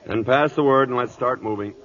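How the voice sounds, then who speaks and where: An actor playing an aging, gruff cavalry captain near retirement, blunt and commanding, in a vintage radio drama. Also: male voice, medium pitch, brisk, a bit bright, close to an everyday voice. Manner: blunt, commanding military address. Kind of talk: 1940s-50s radio drama acting. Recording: muffled vintage radio broadcast.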